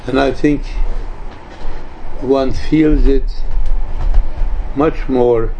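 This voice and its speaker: Man in his nineties, slow halting speech, mellow tone, religious teacher, emphatic repetition, informal spiritual talk. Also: mid-range voice, steady tone. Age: 90s. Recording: dull lo-fi recording, faint background noise.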